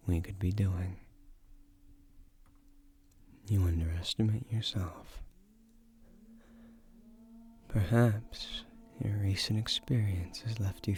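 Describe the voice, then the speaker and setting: Man voicing a slow, low, tender ancient guardian spirit. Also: a little mellow. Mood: earnest, comforting. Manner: formal, measured, soothing, seductive, often breathy near-whisper. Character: ancient male guardian spirit of a magic tree, tender, courtly suitor. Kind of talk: romantic scripted audio roleplay.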